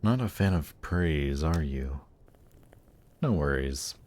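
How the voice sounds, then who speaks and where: A man playing a suave, patient, seductive demon prince in a fantasy roleplay audio drama, speaking in a teasing, coaxing, measured way. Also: very deep voice, animated, darkish tone, heavily acted. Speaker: voice actor. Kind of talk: slow, intimate roleplay audio drama performance.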